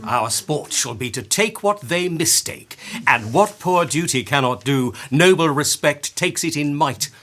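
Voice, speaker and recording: An actor playing a grand, genial duke in a Shakespearean radio play, declaiming theatrically. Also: male voice, a little high, expressive, unhurried, a bit bright. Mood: firm, gracious.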